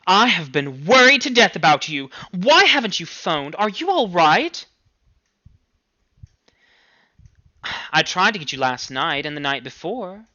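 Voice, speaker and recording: A man's voice: sits high, sing-song, moderate pace, pushed and bright, no room sound, dull audio, pitched above the performer's own voice, heavily acted. A man voicing an anxious, overwrought middle-aged mother in an audiobook story, fretful and emphatic as she scolds. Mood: worried, insistent.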